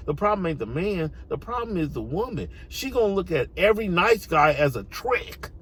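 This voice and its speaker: American man, very swingy pitch, emphatic street-slang commentary, exhorting, slight background noise. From United States. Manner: emphatic, exhorting, repeats phrases for effect. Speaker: online commentator. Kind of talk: opinionated informal monologue.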